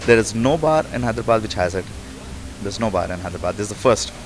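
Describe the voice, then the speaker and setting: Brash fast-talking man promoting his bar. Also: lowish voice, expressive, soft background music. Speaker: bar owner. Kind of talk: casual interview.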